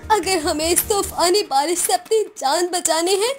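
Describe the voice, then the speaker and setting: A very high-voiced village woman, played by a female narrator, full of worry in a cartoon moral tale. She sounds troubled and beseeching as she talks, with music clearly behind her. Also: normal speed. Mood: troubled, resigned. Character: worried village woman, distressed, pleading with neighbours. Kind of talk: cartoon moral story dialogue.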